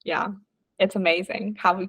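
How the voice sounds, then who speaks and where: A woman's voice: mid-range voice, steady tone, moderate pace, a bit bright, husky, dry recording. A woman hosting a podcast, asking questions in a casual, chatty way with lots of fillers. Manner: chatty, eager, many fillers like "like" and "um". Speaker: podcast host. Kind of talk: casual interview chat.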